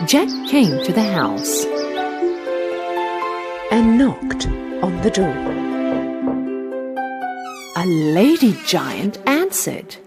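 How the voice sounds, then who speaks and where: A woman tells a scripted children's story in a highly animated voice that rises and falls widely. She narrates as a storyteller for language learners. Music plays clearly behind her. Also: drawn-out words, long pauses.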